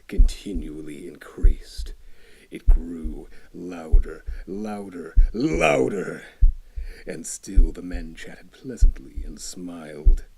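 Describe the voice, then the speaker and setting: A man giving a theatrical reading of a classic horror tale, dropping to hushed whispers and building to intense outbursts. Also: low voice, gravelly, slightly rough, low-quality recording. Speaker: storyteller.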